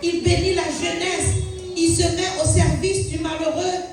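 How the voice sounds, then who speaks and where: A woman declaims a sacred poem with solemn emphasis. Her voice is high and her phrases come slowly and deliberately. The sound is heavily reverberant, as if spoken in an echoing room. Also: level tone, soft background music. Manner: solemn, emphatic, declamatory, repeated rhetorical questions. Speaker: reciter of a religious poem. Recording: very echoey room, distant mic.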